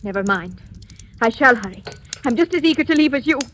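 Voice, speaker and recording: An actress playing a frightened but defiant young captive in old radio suspense style, earnest and formal. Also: female voice, a little high, expressive, brisk, a bit bright. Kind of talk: 1940s radio suspense acting. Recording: noisy old-time radio recording.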